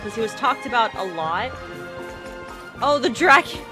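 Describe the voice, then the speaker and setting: A woman's voice: on the high side, expressive, a little slow. A woman giving casual live commentary as a game streamer. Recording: loud game music behind the voice.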